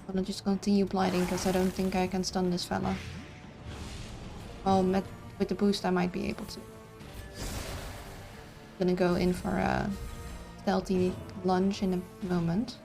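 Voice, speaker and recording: A woman, streaming a game and thinking aloud in a casual, halting way. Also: mid-range voice, monotone, creaky, over music. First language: Dutch. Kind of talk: casual live-stream commentary.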